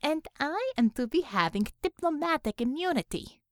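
A woman's voice: on the high side, sing-song, slow pace, fairly bright tone, no room sound, studio quality, exaggerated voice. A woman doing a menacing Russian female commissar. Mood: mock sweet. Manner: broken English, taunting, threatening.

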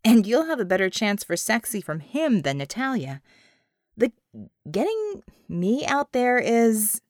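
Woman reader as dry practical lady sidekick, skeptical but kind, deadpan jokes, slightly high voice, audiobook. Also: a little slow, no echo. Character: Ramona, dry practical female comrade, kindly and skeptical.

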